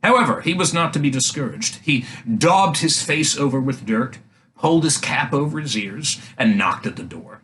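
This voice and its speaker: A man reading a fairy tale aloud for young children as a storyteller, in an animated style. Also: mid-range voice, normal speed. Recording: faint background noise.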